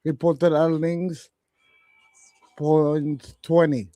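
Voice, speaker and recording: A man in his forties hosting live trading radio, reading out earnings numbers slowly and haltingly. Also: fairly high pitch, level tone, dark tone, no room sound, soft background music. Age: 40s. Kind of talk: live market commentary.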